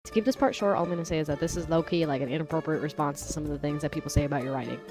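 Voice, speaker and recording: A woman's voice: low-pitched, racing, music in the background. A woman giving casual, opinionated commentary in an informal, slangy way, as the host of her own video.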